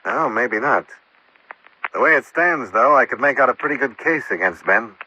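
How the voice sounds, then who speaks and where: An American actor playing a shrewd, blunt insurance investigator in a golden-age radio mystery. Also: male voice, medium pitch, animated, moderate pace, pushed and bright, slightly rough, heavily acted. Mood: probing, reasoning. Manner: blunt, probing, matter-of-fact. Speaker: lead actor in radio detective drama. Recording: muffled old-time radio recording.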